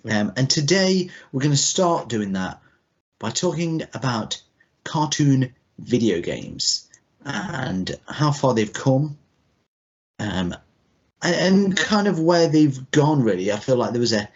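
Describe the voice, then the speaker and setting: A man's voice: medium pitch, big pitch swings, slow pace. A man in his thirties co-hosting a podcast, chatting casually in a loose, rambling way. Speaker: podcast co-host. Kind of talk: casual chat. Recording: dull lo-fi podcast audio.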